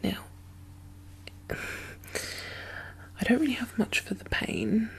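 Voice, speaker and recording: ASMR roleplay: woman, unhurried and soothing caregiver, hushed whispery delivery. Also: on the high side, wildly swooping pitch, vocal fry, rough recording. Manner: gentle, murmured, reassuring, soothing.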